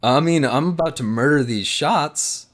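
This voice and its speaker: A man playing a cheerful, clueless partygoer with a halting, casual way of talking. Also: on the high side, voice pushed up, close to an everyday voice. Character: cheerful clueless young partygoer carrying shots.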